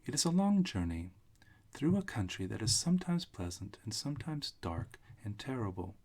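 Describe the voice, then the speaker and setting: A man's voice: fairly low pitch, very animated, normal speed, a little mellow, slightly rough, exaggerated voice. A man voicing a kindly, solemn little old woman, a good witch in a storybook read-aloud. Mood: kindly, earnest.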